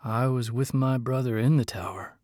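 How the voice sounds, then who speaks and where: A man voicing a big, loyal, honest warrior with a husky voice and an earnest, halting delivery. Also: mid-range voice, a little mellow, dry recording, studio quality, lightly acted. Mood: haggard, halting.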